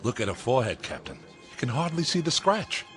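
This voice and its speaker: A man's voice: medium pitch, huge pitch swings, fairly quick, a bit bright. An actor playing a confident, plainspoken male colonist in a radio drama, earnest and insistent. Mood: proud, earnest. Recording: radio drama with faint music behind.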